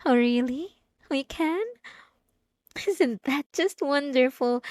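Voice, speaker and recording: A woman playing a sweetly devout, possessive young church girl in a scripted ASMR roleplay, soft-spoken and halting, with sugary politeness and an unsettling edge. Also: high-pitched, no room sound, clean studio sound. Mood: sweet, solicitous. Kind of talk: scripted ASMR roleplay monologue addressed to the listener.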